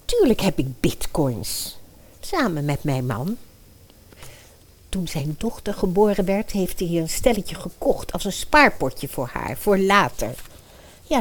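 A woman playing a cold, scheming, murderous stepmother in a fairy-tale radio play, calculating and persuasive as she gives instructions. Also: lowish voice.

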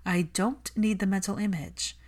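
A woman's voice: mid-range voice, steady tone, normal speed, a little mellow, close to an everyday voice. A woman voicing a defensive, logical young witch in a story reading, clipped and insistent.

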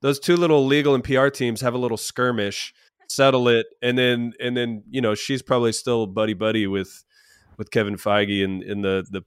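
A young adult man with a gravelly, hoarse voice from a sinus infection, hosting a podcast and leading a relaxed, chatty talk. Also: medium pitch, moderate pace, no echo, studio quality. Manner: easygoing, chatty, leads the topics. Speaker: podcast host. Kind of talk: casual chat.

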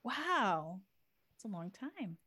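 A woman's voice: mid-range voice, very animated, slow. Woman hosting a podcast interview. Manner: warm, reads a scripted intro then chats. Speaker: podcast host.

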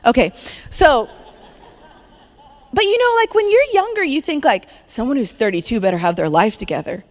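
A woman in her thirties preaches in a chatty, upbeat way, now and then breaking into a laugh. Her voice sits a little high. The sound carries a long reverb, as in a church hall. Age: 30s. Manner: conversational, upbeat, laughs between points. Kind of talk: sermon.

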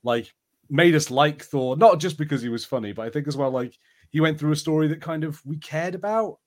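A man's voice: on the high side, fairly quick. Enthusiastic man chatting as podcast co-host. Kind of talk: casual review chat.

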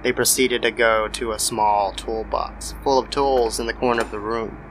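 A man's voice: lowish voice, steady tone, moderate pace, fairly bright tone. A man narrating a scripted horror story as an old-time style radio drama. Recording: noisy background ambience.

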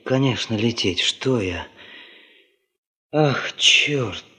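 Casting: a bewildered young man, muted, unhurried and halting, in a reverberant radio play. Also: mid-range voice, animated, pushed and bright, heavily acted. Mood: stunned, lost. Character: young male geologist, subdued, bewildered, polite. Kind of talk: Soviet radio drama acting.

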